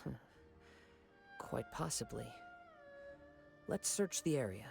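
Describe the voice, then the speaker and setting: A man voicing a calm young wandering swordsman in a video game, speaking in a measured, formal, reflective way. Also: on the high side, creaky, soft background music, heavily acted. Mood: calm, pensive. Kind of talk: video game dialogue acting.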